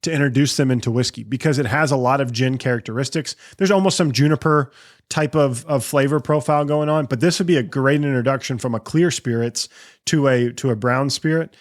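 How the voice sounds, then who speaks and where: Male host, mid-pitched voice, casual chat with winding questions. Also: brisk. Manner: conversational, asks long rambling questions. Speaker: podcast host. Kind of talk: casual podcast interview.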